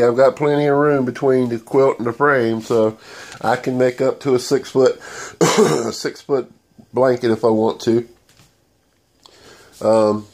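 A man's voice: mid-range voice, darkish tone. A man with a stuffy voice from a cold, giving a rambling, plain-spoken how-to demonstration into a handheld phone in a sewing room. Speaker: DIY channel host. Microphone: handheld phone camera.

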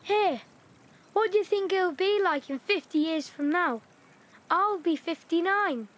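A woman's voice: very high voice, animated, a little slow, a bit bright, heavily acted. A woman voicing an eager young boy in wartime Yorkshire, asking wondering questions in a radio play. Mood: wistful.

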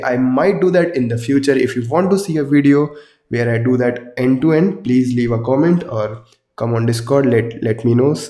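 A young man explains programming steps in a casual, conversational way, with plenty of small restarts. His voice is mellow and a little worn from a sore throat. It carries some echo from the small room he records in. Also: medium pitch. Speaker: coding tutorial host. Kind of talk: casual explanatory coding tutorial. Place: small indoor room with wardrobe.

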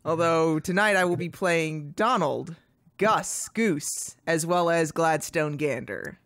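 A woman's voice: deep voice, takes it slowly, fairly bright tone, no echo. A woman voice actor chatting casually on a livestream. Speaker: amateur voice actor in a cast.

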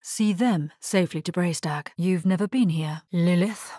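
A woman playing a grave, resolute necromancer hero in a video game, husky-voiced, speaking in a measured, solemn and terse way. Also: fairly low pitch, dark tone, dry recording, clean studio sound, close to an everyday voice. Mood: firm, guarded. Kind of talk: scripted video game character lines.

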